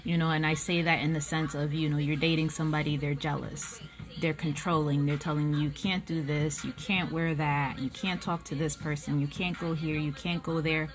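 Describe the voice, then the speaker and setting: A woman's voice: low voice, level tone, moderate pace. A young woman giving a casual tarot reading straight to her phone camera at home, frank and blunt, swearing freely and filling gaps with "um" and "like". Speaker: tarot reader. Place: small indoor room at home. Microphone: phone camera at close range.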